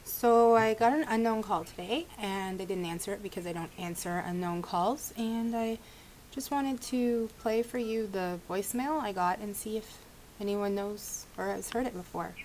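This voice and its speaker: A woman's voice: medium pitch, moderate pace, a little mellow, a little hiss or hum, rough recording. A woman talking casually to viewers in a home-made clip.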